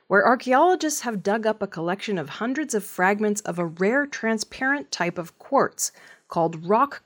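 A woman working as a news reader, delivering scripted news narration. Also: medium pitch.

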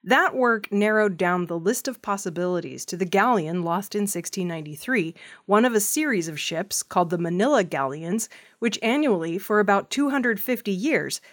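A woman reads the news from a script as a presenter. Her voice sits at a middle pitch for a woman, and she delivers it as narrated reporting. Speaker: news reader.

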